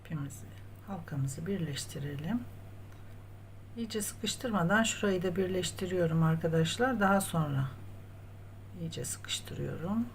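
Slow-paced woman giving a craft tutorial. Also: on the low side, expressive. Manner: counts stitches aloud, addresses viewers as friends. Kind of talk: step-by-step crochet tutorial narration while working. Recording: clear background noise, room ambience.

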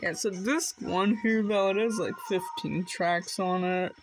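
A vlogger giving casual, rambling unboxing commentary in an offhand, halting way. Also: medium pitch, steady tone, soft background music, rough recording. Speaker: video host showing flea market finds.

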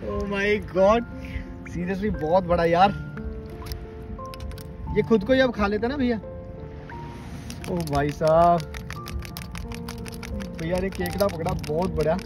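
A man vlogging while wading along a shore at night, chatting excitedly and a little nervous, filmed on a phone. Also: high-pitched, takes it slowly, long pauses, music in the background. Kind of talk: casual vlog commentary.

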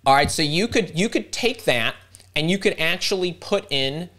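Animated man coaching a live workshop. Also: fairly high pitch, moderate pace. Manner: animated and self-interrupting, thinking aloud. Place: home room indoors. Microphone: video call webcam.

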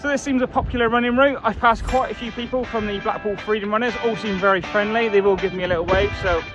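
A man's voice: high-pitched, fairly even intonation, fast, dull audio. A man in his thirties narrating a running vlog outdoors on a seaside promenade, chatting like a tour guide into an action camera. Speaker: running vlogger. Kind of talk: casual travel vlog narration. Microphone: action camera held or mounted while running. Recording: loud background music.

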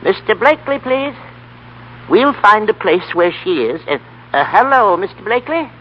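A man's voice: sits high, big pitch swings, moderate pace, slightly rough, heavily acted. An actor playing a homespun, easygoing old American grandfather in a 1930s radio play. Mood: matter-of-fact. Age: older. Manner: homespun, plain-spoken. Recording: dull vintage 1930s radio broadcast.

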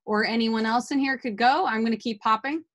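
A woman in her thirties runs an online class from her home, talking through a laptop webcam. She keeps it casual and upbeat, scattered with fillers, and her voice sits a touch high. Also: a little fast, fairly bright tone. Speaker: teacher. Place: home room with art on walls. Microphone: laptop webcam video call.

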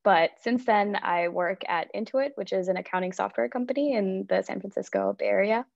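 20s woman on a video call, friendly, a little gravelly, muffled dry audio. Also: medium pitch, brisk, dry recording. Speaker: panelist. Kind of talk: self-introduction on a video call.

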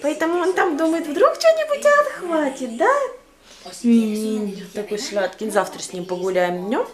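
A woman in her forties, a little hoarse, chatting casually to her phone camera at home on her balcony. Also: fairly high pitch, animated, moderate pace, over music. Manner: chatty, cooing to her pet. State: slightly hoarse. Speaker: vlogger. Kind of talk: casual vlog chat to camera. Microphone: handheld phone camera.

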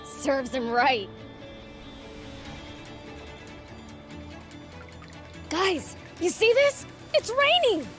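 A woman playing a cocky, excitable young demon prince in a video game, brash and boyish. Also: extremely high pitch, slow pace, heavily acted. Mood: gleeful. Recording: music and vehicle sounds behind.

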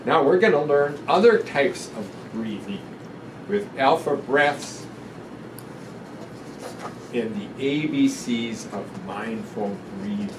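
A man in his seventies reading a children's book aloud in a gentle, deliberate, instructive way, guiding breathing exercises with pauses between phrases. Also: medium pitch. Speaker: guest doctor reading a picture book aloud. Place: indoor room in front of a sequined curtain. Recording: roomy, distant pickup with noticeable ventilation hum.

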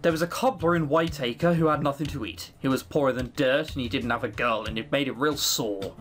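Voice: medium pitch, brisk, fairly bright tone, soft background music, voice pushed down, lightly acted. A game commentator voicing an offhand poor boy who tells a folk tale in blunt, slangy words. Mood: offhand storytelling.

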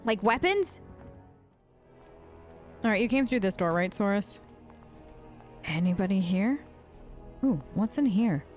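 A woman's voice: medium pitch, big pitch swings, unhurried, pauses often, faint music behind. A woman doing casual, improvised role-play commentary on a game, flippant and chatty, joking in character. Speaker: let's play host.

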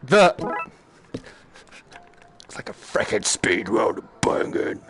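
A man's voice: on the low side, big pitch swings, slow pace, creaky. A British man giving casual live gaming commentary, grumbling sarcastically with mild swearing over background noise. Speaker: gaming streamer.

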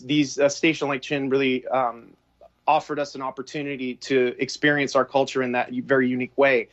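Canadian man on a lo-fi video call. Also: medium pitch. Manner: reflective, rambling with restarts. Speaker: film director guest. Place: home room with shelves. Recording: dull lo-fi video call audio.

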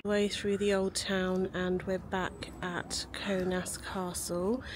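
A woman's voice: lowish voice, level tone, takes it slowly. A woman in her thirties, a travel vlogger, talking chattily to a handheld phone camera outdoors. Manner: chatty, upbeat, speaking straight to camera. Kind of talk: casual travel vlog narration. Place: outdoors on busy city streets and park paths. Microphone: handheld phone camera at arm's length. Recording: outdoor phone recording with street noise. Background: traffic and street noise.

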